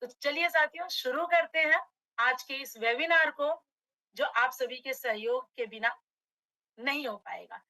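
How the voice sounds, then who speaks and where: A middle-aged woman from Uttar Pradesh presents a motivational webinar with a slightly hoarse throat. Her voice sits high and bright, and the sound is dry and close on a computer mic. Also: measured pace, no echo, low-quality recording. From Uttar Pradesh, India. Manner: addresses audience as friends, motivational. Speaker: direct selling trainer.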